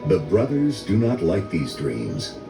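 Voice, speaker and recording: An older man reading a children's story in a measured, dramatic storytelling manner. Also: sits low, wildly swooping pitch, vocal fry. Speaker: read-along story narrator. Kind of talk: scripted children's story narration. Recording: roomy echo, music under voice. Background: orchestral music.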